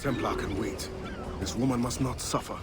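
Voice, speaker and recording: A man playing a resolute, principled escaped slave turned sea captain in a video game, speaking gravely and formally. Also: mid-range voice, loud music behind the voice, close to an everyday voice. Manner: grave, formal, measured wording. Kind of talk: dramatic video game acting.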